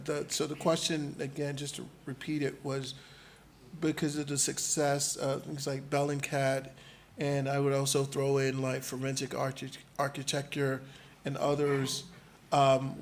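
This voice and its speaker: A man on a conference panel answering an audience question, friendly and thinking aloud. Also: medium pitch.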